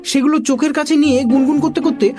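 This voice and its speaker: A woman narrating a comic children's story in an expressive storytelling style. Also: sits high, fast talker, over loud music.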